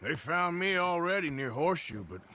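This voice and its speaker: Weary gruff outlaw, American drawl, sardonic, forceful, lively intonation, video game cutscene. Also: male voice, a little high, normal speed, slight background noise. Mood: tense, irritated. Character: gruff, sardonic male outlaw gunman, weary but protective.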